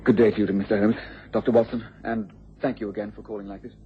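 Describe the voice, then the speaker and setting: A man's voice: fairly low pitch, level tone, fast, lightly acted. Casting: man playing scheming husband and lab assistant, formal and polite, radio drama, dull noisy recording. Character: smooth-talking, scheming scientist's assistant and husband. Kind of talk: radio mystery drama acting. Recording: muffled radio recording with background noise.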